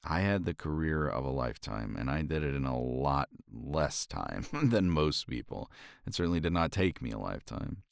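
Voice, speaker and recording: A man speaking softly and reflectively in a romantic ASMR roleplay, sighing often and sometimes dropping to a whisper, close to the mic. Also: a bass voice, animated, moderate pace, gravelly, few pauses, clear, resonant tone, no echo. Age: older. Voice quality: soft, intimate, drifts into whispers.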